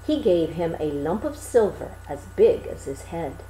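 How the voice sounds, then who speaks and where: Slow, very animated woman storyteller. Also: on the low side, slight background noise. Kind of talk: expressive fairy-tale narration. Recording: roomy echo.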